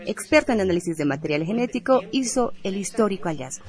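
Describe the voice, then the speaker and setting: A woman's voice: mid-range voice, animated, fast talker. A woman reading scripted news as co-presenter of a radio newscast.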